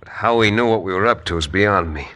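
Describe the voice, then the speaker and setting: An actor in a 1950s radio western playing a calm frontier lawyer who also narrates, measured and dry. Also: male voice, fairly low pitch. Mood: reasoned.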